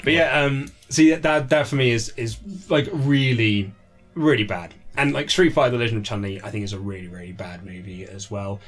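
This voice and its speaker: A man's voice: mid-range voice, steady tone, moderate pace. A British man in his thirties telling anecdotes in a casual interview, acting out quotes as he goes. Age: 30s. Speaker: interview guest, author. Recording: music playing behind the voice. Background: background music.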